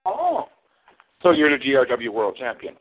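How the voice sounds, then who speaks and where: A man's voice: medium pitch, huge pitch swings, normal speed. A man cutting a sarcastic, mocking wrestling promo, taunting his rival as champion. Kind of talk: trash-talking wrestling promo over a call. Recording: tinny phone line.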